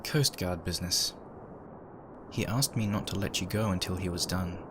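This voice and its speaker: A man narrating a dark first-person story in a measured, somber, dramatic reading style. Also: low voice, noisy background. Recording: loud engine and ambient sound effects. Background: background sound effects of ambience and machinery.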